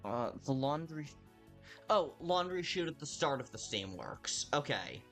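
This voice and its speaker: A man's voice: fairly high pitch, very animated, a little slow, a bit bright, soft background music. A young man livestreaming a video game, chatting and reacting to viewers' messages, a little tired, with a dry mouth. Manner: chatty, reads chat aloud, mock-exasperated. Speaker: streamer playing a video game. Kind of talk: casual livestream chat.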